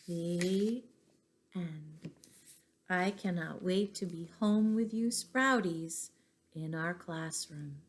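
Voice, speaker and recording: A woman's voice: lowish voice, takes it slowly, slight background noise. A woman teacher reading stories aloud to young children, stopping for questions and asides to her listeners.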